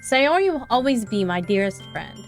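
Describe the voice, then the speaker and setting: A woman's voice: fairly high pitch, very animated, moderate pace, faint music behind. Young woman reading a visual novel aloud. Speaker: streamer.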